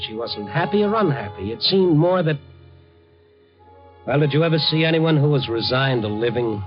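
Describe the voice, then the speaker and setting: A man's voice: medium pitch, natural voice. An American man acting in a 1950s radio drama as a tough, wry, kindly newspaperman who narrates his night story in an understated way. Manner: wry, hard-boiled, understated. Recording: dull, band-limited 1950 radio recording with music.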